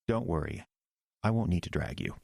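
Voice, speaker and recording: A man as an earnest, deep-voiced young pastor. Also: expressive, vocal fry, a little mellow, no room sound, clean studio sound, exaggerated voice. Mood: firm, suspicious. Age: young adult. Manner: earnest, measured, tense. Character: earnest, anxious young male small-town pastor. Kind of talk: audio drama acting.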